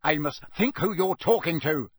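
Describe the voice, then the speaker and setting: A man's voice: a little high, lively intonation, measured pace, a bit bright, no room sound, studio quality. A man voicing an angry, protective middle-aged father speaking firmly. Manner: firm, angry.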